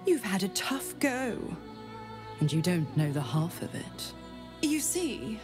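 Game devil dealmaker: smug, mocking, theatrical, unhurried and very melodic, over music. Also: female voice, on the high side. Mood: mocking, coy. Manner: theatrical, mocking, drawn-out delivery. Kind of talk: video game cutscene acting.

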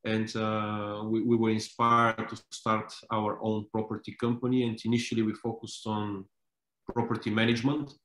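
A man in his thirties from Bulgaria answers interview questions over a video call, his low voice staying flat and even. He talks haltingly, with frequent fillers and restarts. The sound carries the echo of an indoor room. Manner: hesitant, many fillers and restarts. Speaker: company founder and CEO.

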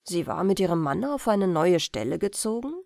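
A woman reading an old-fashioned family tale as an audiobook narrator, in carefully phrased, expressive storytelling. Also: fairly low pitch, moderate pace, gravelly, dry recording, studio quality. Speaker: audiobook reader.

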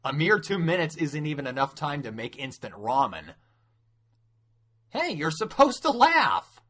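A man voicing a cocky, boastful young highway cop, smug and theatrical, in an amateur anime dub. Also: expressive, brisk, a bit bright.

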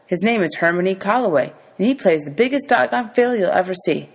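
A woman voicing a quick-witted young boy in a storybook, polite, guarded and deferential. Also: medium pitch, expressive, husky, voice pushed up. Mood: boastful. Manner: deferential, short careful answers.

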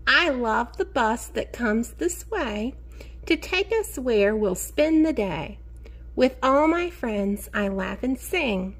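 Lady teacher doing a kids read-aloud, sing-song n slow, voice swoops up and down a lot. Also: on the high side. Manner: expressive, sing-song, drawn-out key words; encouraging, addresses children directly. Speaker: teacher reading a picture book aloud to young children. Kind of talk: children's read-aloud with questions to the listeners.

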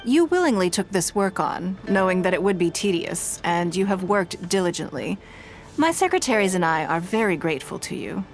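A woman voicing a poised, elegant noblewoman leader in a video game, composed, gracious and measured. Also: on the low side, very animated, faint music behind. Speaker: game character.

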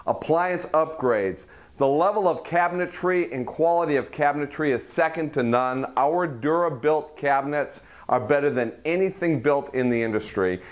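A middle-aged man leading a walkthrough tour of a model home, listing its features in a salesy way. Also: medium pitch. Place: furnished model home, indoors.

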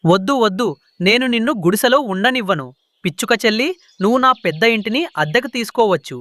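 A man's voice: high-pitched, expressive, brisk, bright, forceful tone, no room sound. A man voicing a greedy, bullying crow landlord in a children's cartoon, pushy and scheming, often repeating words impatiently.

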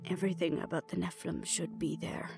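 A woman voicing a guarded, grudging, defiant old female vampire elder in an audio roleplay, speaking in a halting, solemn way. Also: on the low side, steady tone, vocal fry, over music. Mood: resigned.

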